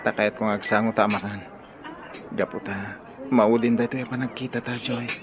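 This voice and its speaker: A man's voice: fairly low pitch, steady tone, moderate pace, natural voice. An actor with an Ilocano accent playing an earnest, lovelorn young man in a radio drama. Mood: earnest, wistful. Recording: dull lo-fi audio, clear background noise.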